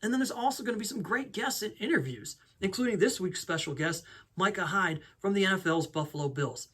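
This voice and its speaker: A man's voice: sits high, expressive, brisk, bright, forceful tone, husky. A man hosting a podcast, talking conversationally as he introduces the show and his guest. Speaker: podcast host. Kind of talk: upbeat show introduction and interview.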